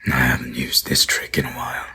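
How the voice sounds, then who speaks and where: A man's voice: completely flat delivery, a little fast, sharp tone, whispered, exaggerated voice. A man playing a grim, resolute beast hunter in a gothic audio drama, terse and guarded. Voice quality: low, very husky.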